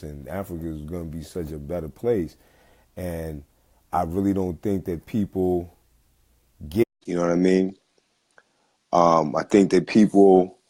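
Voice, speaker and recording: A man's voice: low voice, darkish tone. A man hosting a casual live talk show from his phone, rambling with many fillers and restarts. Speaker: host.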